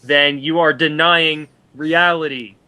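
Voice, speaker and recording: Sarcastic guy griping about movies, kinda high sharp voice, exasperated. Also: steady tone, takes it slowly. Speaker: co-host of a film commentary show. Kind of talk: sarcastic reading and casual commentary on an article.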